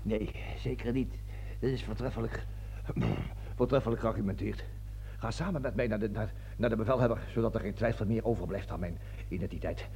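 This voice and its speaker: An actor in a radio play voicing a self-important American army colonel who stammers, cajoles and barks orders. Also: male voice, on the low side, fairly quick, darkish tone, husky. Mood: pompous, strained. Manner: pompous, stammering, cajoling, switching between whispers and barked orders. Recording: noisy old radio recording with hum.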